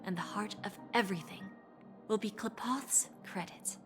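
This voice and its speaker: Woman doing a cool calculating exec lady in a video game, formal n composed, mid pitch, bit of music behind. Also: normal speed, natural voice. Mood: confident, expository. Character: poised, calculating female corporate executive negotiator. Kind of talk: scripted video game voice acting.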